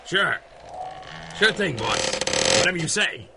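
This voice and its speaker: Dopey nervous mob lackey, slangy, bit high voice, old radio play, noisy background. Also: male voice, big pitch swings, unhurried, gravelly, heavily acted. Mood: eager. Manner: slangy, ungrammatical tough-guy talk. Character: nervous, dim, obliging 1930s gangster henchman. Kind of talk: 1930s-style radio drama acting.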